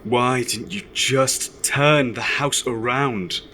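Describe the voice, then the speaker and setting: A man's voice: medium pitch, slow, pushed and bright, slight background noise. A man playing a wry, bookish son with a theatrical bent in an audio drama.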